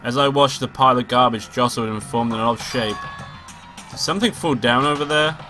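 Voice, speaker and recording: A man's voice: medium pitch, a little fast, pushed and bright. Sick, nasal man reading a game aloud. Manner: swearing, offhand asides between read lines. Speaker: let's play host reading a visual novel aloud. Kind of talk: casual let's play commentary and read-aloud. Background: game music.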